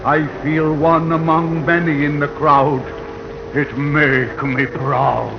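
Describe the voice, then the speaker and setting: Slow-speaking, humble immigrant, old radio. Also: male voice, on the high side, level tone, gravelly, music in the background. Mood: humble pride. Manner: slow, simple broken English. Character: humble, proud immigrant man learning through radio. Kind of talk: 1940s radio acting. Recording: noisy, dull 1940 radio recording.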